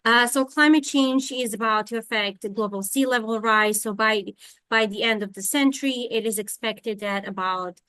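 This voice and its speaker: A woman's voice: fairly high pitch, normal speed, sharp tone, clear, resonant tone, no echo. A woman from Serbia giving an online scientific lecture as a university lecturer, speaking with many fillers and restarts. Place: home in Thailand during monsoon rain. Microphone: video call.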